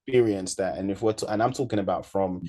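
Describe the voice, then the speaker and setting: A man with a British accent talks casually as a guest on a video call. His voice sits fairly low. He speaks as a music producer in relaxed, conversational language. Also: quick pace. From United Kingdom. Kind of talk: casual chat.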